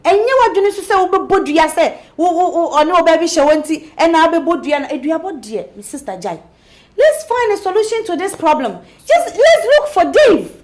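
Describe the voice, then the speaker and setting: Seorang wanita yang memerankan sahabat muda yang menghibur dan menenangkan temannya. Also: female voice, on the high side, big pitch swings, moderate pace, darkish tone, echoey room. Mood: urging. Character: comforting young female friend.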